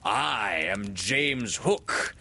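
A man's voice: on the low side, animated, extremely slow, creaky, bright, forceful tone, pitched above the performer's own voice, exaggerated voice. A man voicing a cheeky impersonator of a pirate captain, taunting and theatrical, in a storybook. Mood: boastful. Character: cheeky boy mimicking a booming pirate captain.

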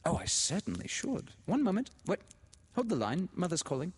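A man voicing an excitable, gushing, well-to-do teenage girl in a storybook reading, breathless and eager. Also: high-pitched, moderate pace, breathy edge, in a large hall, pitched above the performer's own voice, exaggerated voice. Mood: gushing, delighted.

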